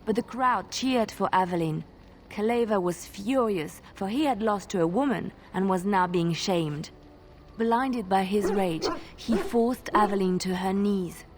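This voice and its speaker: An actress playing a gentle young woman bard in a video game, telling tales in a measured, expressive way. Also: medium pitch, slight background noise, natural voice. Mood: earnest storytelling. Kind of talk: video game dialogue, fairy-tale storytelling.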